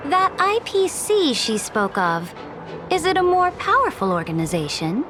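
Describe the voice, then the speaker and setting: An actress playing an imperious young conqueror empress with a formal, haughty and curious manner in a video game cutscene. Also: female voice, high-pitched, sing-song, music in the background. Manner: formal, regal, measured.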